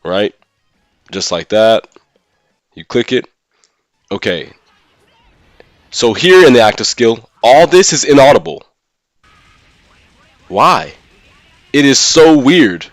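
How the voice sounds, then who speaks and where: A man's voice: medium pitch, very animated, slow, long pauses, dry recording, clean studio sound, soft background music. A man who streams games, talking casually and giving his opinions as he thinks aloud. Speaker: live streamer and gaming content creator. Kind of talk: casual livestream discussion of a mobile game.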